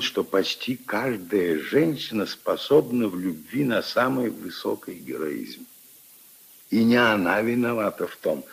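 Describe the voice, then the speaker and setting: Gravelly, husky old Russian-drama grandfather. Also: on the low side, exaggerated voice. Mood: wistful, earnest. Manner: ruminative, emphatic, intimate storytelling to his granddaughter. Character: kindly old grandfather, opinionated, wistful storyteller of love. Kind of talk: 1950s radio drama acting. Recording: 1950s radio play recording.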